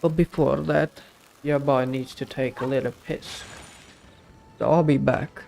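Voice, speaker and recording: Male streamer: gravelly fry, medium pitch, offhand blunt slangy commentary, light music behind. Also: fairly even intonation, unhurried, a little mellow. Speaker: game live streamer. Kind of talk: casual live stream commentary.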